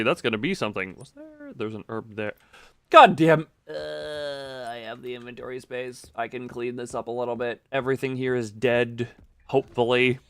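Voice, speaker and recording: A man's voice: medium pitch, a bit bright, no echo. A man giving casual live video game commentary, thinking aloud in a rambling, self-interrupting way with playful, self-deprecating wordplay.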